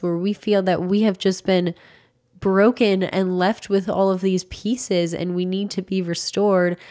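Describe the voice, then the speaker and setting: A young woman in her twenties speaks earnestly and encouragingly, like a devotional talk to her listeners. Her voice has a slight rasp left over from being sick. She sits close to a studio microphone in a small home studio. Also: medium pitch, a little mellow. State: slightly raspy from recovering from illness. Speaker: faith content creator. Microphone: large studio microphone close to mouth.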